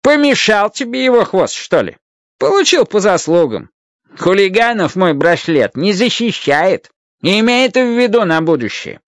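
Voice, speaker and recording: A man's voice: sits high, big pitch swings, moderate pace, no room sound, clean studio sound, voice pushed up. A man voicing a little old magical guardian in a radio play, a stern but kindly mentor who scolds and lectures emphatically. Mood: scolding.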